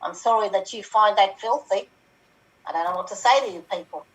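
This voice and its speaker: A woman's voice: mid-range voice, brisk, distant mic, natural voice, poor audio. A woman playing a defensive, flustered tax office worker over a call.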